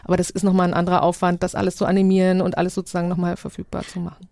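A woman talks quickly in a mellow voice. She explains things off the cuff in a discussion, reflective and fond of rhetorical questions. The sound is close and dry. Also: on the low side, completely flat delivery, vocal fry, no echo. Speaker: guest, immersive journalism project maker. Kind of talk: panel-style discussion, spontaneous explaining.

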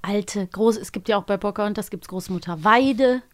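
A woman's voice: mid-range voice, moderate pace, dry recording. A woman chatting casually and playfully as a podcast co-host.

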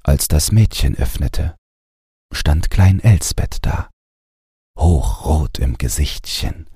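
A man narrating a bedtime audiobook in a calm, scripted storytelling style, close to the microphone. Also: extremely low pitch, takes it slowly, husky, no room sound.

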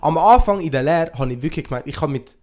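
A young man with a Swiss accent talks in a fairly high-pitched male voice. His delivery is casual and conversational, peppered with youthful slang and English expressions, like a podcast co-host chatting with a friend. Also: animated, fast talker, a little mellow. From Switzerland. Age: young adult. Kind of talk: casual chat.

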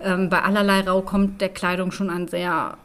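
A woman's voice: on the low side, flat delivery, moderate pace, a bit bright. A woman co-hosting a podcast, explaining fairy tales in a conversational way. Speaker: podcast co-host.

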